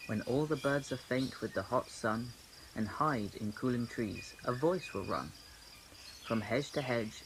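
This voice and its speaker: A man recites verse in a measured way, his voice at an average pitch. Chirping insects and outdoor ambience are clearly audible behind him. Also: a bit bright, a little hiss or hum, rough recording. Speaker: poetry reader. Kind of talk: recited poetry reading. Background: insect and nature sounds.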